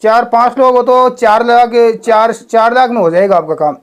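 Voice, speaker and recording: A man's voice: extremely high pitch, moderate pace. A man talking on a phone call, explaining and questioning, repeating himself for emphasis. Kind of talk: phone conversation.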